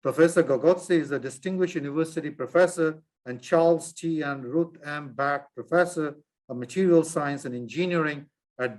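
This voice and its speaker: A man hosting an academic seminar gives a formal introduction of a guest lecturer. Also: fairly high pitch.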